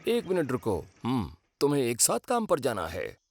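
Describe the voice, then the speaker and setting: A man's voice: medium pitch, huge pitch swings, moderate pace. A man voicing a kindly, avuncular supervisor of young trains in a cartoon, giving instructions. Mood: instructing. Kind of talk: cartoon dub acting.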